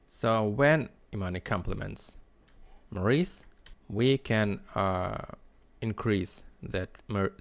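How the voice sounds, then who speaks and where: A man narrating a screen-recorded coding tutorial in a halting, explanatory way. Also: lowish voice, creaky. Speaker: tutorial host.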